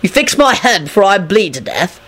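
A woman's voice: mid-range voice, sing-song, normal speed, a bit bright, slightly rough. A woman narrator puts on a dazed and sullen wizard from a storybook. He grumbles groggily and crudely, like a man nursing an injury.

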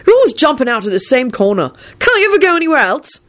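A woman's voice: medium pitch, sing-song, fast talker, slightly rough. Woman playing a brash, impatient young man. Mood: exasperated. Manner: barking, exasperated.

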